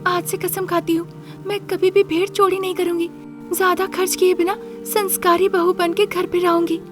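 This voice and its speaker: A woman voicing a greedy, scheming young daughter-in-law in an animated, self-justifying way for a moral story cartoon. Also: very high-pitched, normal speed, over music, pitched above the performer's own voice. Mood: anxious, repentant.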